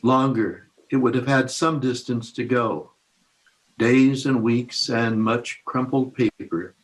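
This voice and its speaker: A man reads poetry aloud in a plain, measured way. His pitch is medium and his pace is slow. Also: a bit bright, rough recording. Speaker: reader.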